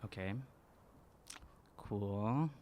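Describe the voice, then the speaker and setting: A man playing a tabletop game, improvising casually in a laid-back, halting way. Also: fairly low pitch, a little mellow. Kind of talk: casual improvised role play.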